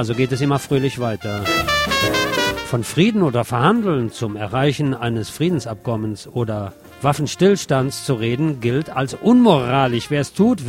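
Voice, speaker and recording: A man's voice: medium pitch, darkish tone. Man reading ironic radio commentary over music. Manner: ironic, theatrical emphasis. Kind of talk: scripted satirical commentary and literary reportage. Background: music behind the voice.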